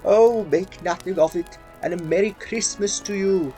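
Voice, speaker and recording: An actor playing an older, effusively generous Victorian gentleman in a theatrical radio play style. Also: high voice, moderate pace, soft background music, close to an everyday voice, poor audio. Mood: jovial, generous.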